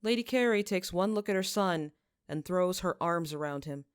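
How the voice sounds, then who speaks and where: Female audiobook narrator: lowish pitch, measured, solemn delivery, very dry close recording. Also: animated, clean tone, no echo. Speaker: podfic narrator. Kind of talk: scripted fiction narration.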